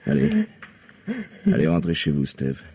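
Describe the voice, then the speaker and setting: Husky, swooping, commanding policeman. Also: very deep voice, huge pitch swings, a little slow, warm tone, heavily acted. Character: commanding policeman closing in on a culprit. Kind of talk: old French radio crime drama acting. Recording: dull, lo-fi vintage radio recording.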